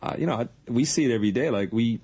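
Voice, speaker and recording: A man's voice: medium pitch, very fast, dark tone, slightly rough. A young man, a guest on a radio interview, talking earnestly about organising basketball teams, often restarting and correcting himself. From born in Iran, raised across the Pacific and Europe.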